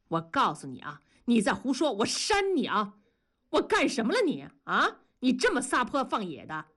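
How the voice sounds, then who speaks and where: A woman's voice: medium pitch, big pitch swings, measured pace, husky. A woman voicing a hot-tempered husband in an audiobook, indignant, defensive and blustering. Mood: furious, defensive. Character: hot-tempered husband, an indignant adult man, shouting back. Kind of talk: audiobook character dialogue.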